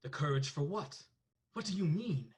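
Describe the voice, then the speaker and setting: An actor playing an earnest, anxious husband in a radio play, with a clipped, dramatic delivery. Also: male voice, on the high side, animated, moderate pace, slightly rough. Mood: tense.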